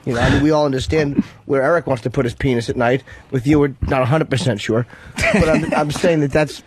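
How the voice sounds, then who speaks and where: A man's voice: mid-range voice, brisk, a little hiss or hum. A man co-hosting a radio show in casual, profane, bantering conversation.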